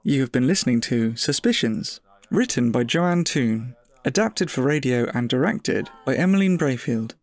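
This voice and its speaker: A man delivers a scripted credits announcement. His voice is of average pitch for a man. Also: lively intonation, moderate pace, a crowd in the background.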